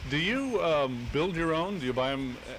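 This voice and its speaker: A man's voice: on the high side, animated, normal speed, noisy background. A man hosting a casual live radio interview, asking questions in an informal, inquisitive way. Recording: home-taped live radio, background bustle.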